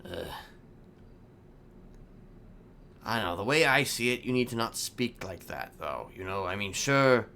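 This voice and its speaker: A man playing a theatrical, hopeful prisoner in improvised game roleplay, rambling haltingly and repeating himself with sudden emphasis. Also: lowish voice, lively intonation, gravelly, bright, forceful tone, full character voice. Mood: hesitant, hopeful. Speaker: streamer playing a roleplay character. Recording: faint background noise.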